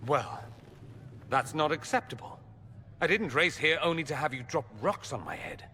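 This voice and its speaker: Lively, sardonic sophisticate, echoing hall. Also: male voice, fairly high pitch, normal speed. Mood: indignant wit. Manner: dry wit, theatrical, arch. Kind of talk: dramatic video game acting. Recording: long reverberant hall, faint background noise.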